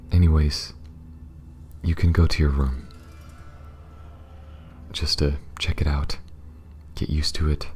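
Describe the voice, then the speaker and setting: A man voicing a guarded but protective alien smuggler in an ASMR audio drama, speaking softly and hesitantly to one listener, often near a whisper. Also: very deep voice. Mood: businesslike, casual.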